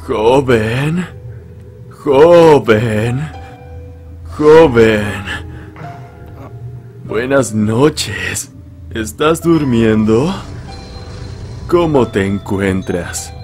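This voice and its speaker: A man plays a monstrous middle-aged woman in a horror anime dub, coaxing and insistent. The words are drawn out extremely slowly, with a Latin American accent. Loud music plays over the voice. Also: mid-range voice, lively intonation. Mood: eerie coaxing. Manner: slow, drawn-out, insistent calling.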